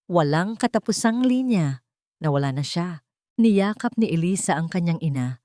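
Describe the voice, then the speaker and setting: A woman narrates a scripted audio drama in a soft, solemn storytelling manner. Her voice sits low. The recording is close-miked and completely dry, with no room sound. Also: no echo.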